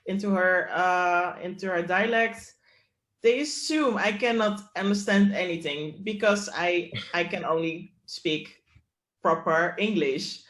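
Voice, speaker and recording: A woman's voice: on the low side, unhurried. Woman guest in an echoey room. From Netherlands. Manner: laughing, lively storytelling. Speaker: guest, company co-founder. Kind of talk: casual interview chat. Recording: roomy echo.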